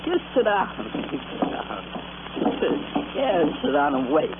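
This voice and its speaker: A man's voice: on the high side, sing-song, measured pace. An actor playing a desperate, unhinged cornered man in an old radio thriller, on a muffled and very noisy recording. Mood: desperate, agitated.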